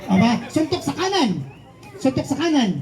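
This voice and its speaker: A high-voiced Filipino woman entertains children at a live puppet show, with a clearly audible crowd behind her. She coaxes and playfully scolds in an animated stage-host manner. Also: moderate pace. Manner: animated, coaxing, mock-scolding. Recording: live event with loud crowd. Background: audience crowd.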